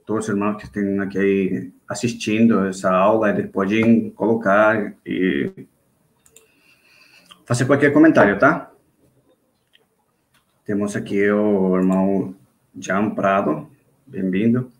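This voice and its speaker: A man from Venezuela with a Venezuelan Spanish accent, a native Spanish speaker, teaching an online church class in a halting way, with restarts and checks for agreement. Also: fairly low pitch, roomy sound. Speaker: Sunday school teacher. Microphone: video call.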